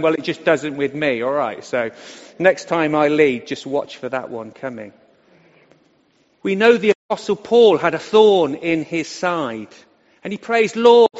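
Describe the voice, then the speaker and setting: A middle-aged vicar who stammers on some words, preaching a sermon from the front of a church. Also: male voice, a little high. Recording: echoey hall, dull lo-fi sound.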